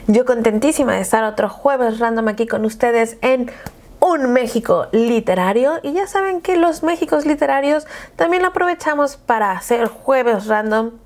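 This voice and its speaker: A young woman with a Mexican Spanish accent chatting casually to camera as a video blogger, expressive, gushing and emphatic. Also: fairly high pitch, moderate pace. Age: young adult. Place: small furnished room at home with bookshelves.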